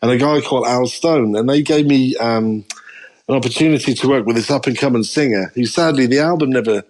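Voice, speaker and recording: A man's voice: mid-range voice, a little fast, a little mellow. A middle-aged English drummer, a guest on a podcast, telling his career story in a candid, reflective way. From England. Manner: reflective, candid storytelling with frequent "you know". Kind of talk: podcast interview.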